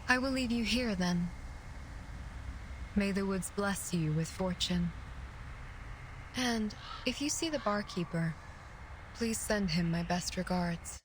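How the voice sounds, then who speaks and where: An actress playing a serene, aloof arcanist of the woods in a video game, speaking in a measured, formal and courteous way. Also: female voice, medium pitch, lively intonation, slight background noise, rough recording. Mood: calm, detached. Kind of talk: scripted game voice acting. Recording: loud music behind the voice. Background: loud background music.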